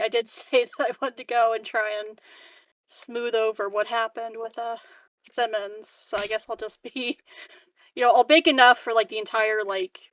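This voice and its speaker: Girl playing tabletop rpg, highish voice, giggly, stumbles over words, dry mic. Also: fairly bright tone, no room sound. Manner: giggly, halting. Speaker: tabletop game player. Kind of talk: casual chat describing her character's actions.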